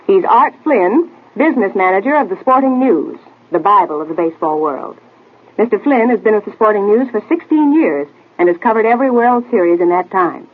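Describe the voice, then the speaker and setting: An American actress playing a spirited, teasing young detective's assistant in a 1940s radio drama, pert in manner. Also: female voice, medium pitch, animated, brisk, lightly acted. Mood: bright, announcing. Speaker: detective drama co-star who introduces guests. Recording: tinny, band-limited old radio recording.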